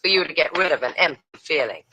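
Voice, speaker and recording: Cool wry young adventuress, old radio drama, expressive delivery, crackly noisy recording. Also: female voice, sits low, fast. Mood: needling, sardonic. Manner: dry, teasing, sardonic. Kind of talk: 1950s radio drama acting.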